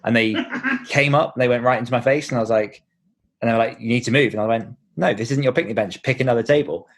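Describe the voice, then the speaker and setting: A man's voice: mid-range voice, fast talker. A man with a British accent in casual podcast chat, informal and jokey in the way he talks. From Britain. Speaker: podcast host and author, being interviewed on own show.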